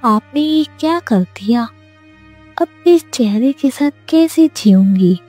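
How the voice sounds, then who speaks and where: A woman's voice: high-pitched, expressive, takes it slowly, warm tone, faint music behind, exaggerated voice. A woman voicing a vain young princess in a cartoon story, with a self-important, dramatic manner. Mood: pleading.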